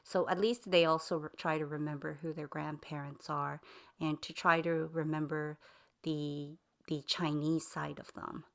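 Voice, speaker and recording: A middle-aged woman with a slightly low voice explains her family customs in an earnest, measured way. She pauses often on "um" and "uh" while speaking in an interview. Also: flat delivery, vocal fry. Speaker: interviewee, mother. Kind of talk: casual interview talk.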